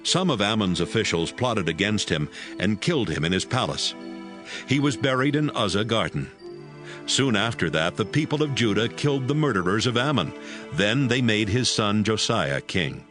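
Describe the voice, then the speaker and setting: A man narrating scripture as a scripted, dramatized audiobook in a measured storytelling style. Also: fairly low pitch, sing-song. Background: prominent music.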